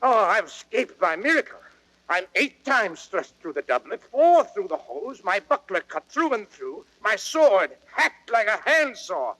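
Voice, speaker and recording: Blustering, high-pitched fat old knight. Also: male voice, very animated, unhurried, a bit bright, heavily acted, low-quality recording. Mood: boastful. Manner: blustering, declamatory, exaggerating. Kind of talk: old radio Shakespeare acting.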